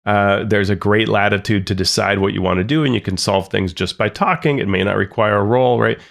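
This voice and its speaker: A man's voice: lowish voice, fairly even intonation, fairly quick, creaky, a bit bright. A man co-hosting a tabletop gaming podcast, talking in an easygoing, casual way. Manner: easygoing, chuckles and backs up his partner. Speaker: podcast co-host.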